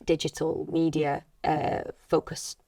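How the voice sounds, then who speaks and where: Low-pitched British woman giving earnest advice. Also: completely flat delivery, measured pace, vocal fry, warm tone, no echo, clean studio sound. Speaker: actor and acting coach. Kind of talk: conversational advice-giving interview.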